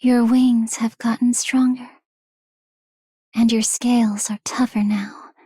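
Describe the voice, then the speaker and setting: A woman playing a tender, nurturing, soft-spoken character in scripted video game lines. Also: fairly high pitch, flat delivery, slow pace, breathy edge, dry recording, studio quality.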